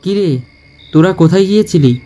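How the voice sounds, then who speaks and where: A man's voice: high voice, expressive, fairly quick, warm tone. A man playing a frightened, curious young man who narrates a ghost story with suspenseful, dramatic delivery. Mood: worried, questioning. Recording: long reverb, music underneath.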